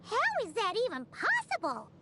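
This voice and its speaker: A little fairy sidekick from a fantasy game. The voice is squeaky, childlike and piercingly high. Excitable and curious, it bounces widely in pitch. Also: female voice, moderate pace, very noisy, heavily acted. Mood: puzzled. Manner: curious, exclamatory, baffled. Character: tiny excitable childlike floating fairy companion. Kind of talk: fantasy video game voice acting.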